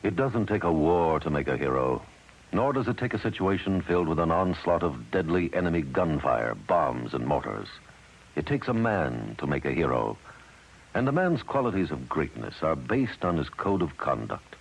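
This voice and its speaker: A man with an American accent and a husky voice, reading a solemn scripted narration on a vintage radio broadcast. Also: rumbling low, very animated, normal speed, poor audio.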